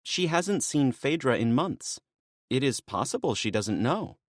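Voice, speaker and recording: A man voicing a sharp-tongued, bossy female maenad with a dry, mocking manner. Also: mid-range voice, sing-song, measured pace, dry recording, voice pushed up. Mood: sarcastic.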